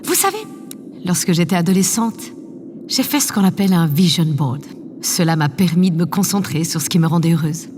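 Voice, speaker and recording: A woman's voice: fairly low pitch, brisk, darkish tone, close to an everyday voice. A woman playing an imperious, self-absorbed female president in an audio drama, theatrical, commanding and condescending. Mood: lecturing, smug. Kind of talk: scripted audio drama acting. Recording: long hall reverb, faint noise. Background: faint ambient noise.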